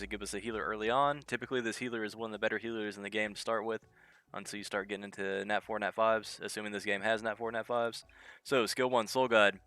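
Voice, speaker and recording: A young man with a head cold talks through a mobile game in an easy, offhand way. His voice sits low and fairly flat, but it has a bright, forceful edge. Faint game music runs underneath. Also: smooth, dry recording. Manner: thinks aloud while reading in-game skill text. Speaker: gaming channel host. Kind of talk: casual gameplay commentary.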